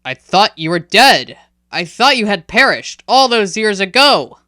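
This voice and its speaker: A woman voicing a calm, serious man in robes who speaks earnestly and urgently. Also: low-pitched, moderate pace, clean tone, no room sound, studio quality.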